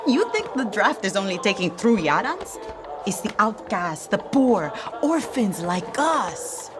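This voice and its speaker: A woman's voice: mid-range voice, big pitch swings, a little slow, music in the background. A woman playing a young, idealistic, bitter rebel in a game, impassioned and insistent.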